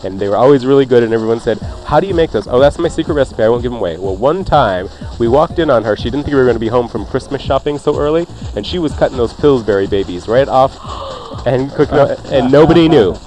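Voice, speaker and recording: A man's voice: medium pitch, expressive, quick pace, a little mellow, low-quality recording. A man hosting a radio cooking show, talking through recipes in a casual, conversational way with jokey asides. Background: faint music.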